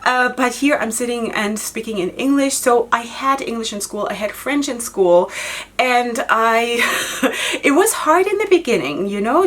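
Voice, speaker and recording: German-native lady in her 30s, beauty vlogger, slightly high voice, chatty and giggly, repeats words for emphasis. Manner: chatty, self-reflective, laughs often, repeats words for emphasis. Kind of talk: casual talk to camera. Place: decorated home studio with flowers and chandelier. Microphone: camera framed at head and shoulders.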